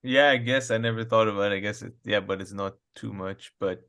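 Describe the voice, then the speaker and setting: A man's voice: fairly low pitch, fairly even intonation, fairly quick, a bit bright. A man co-hosting a comedy podcast, chatting casually and easily, agreeing often and laughing between his lines. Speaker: podcast co-host.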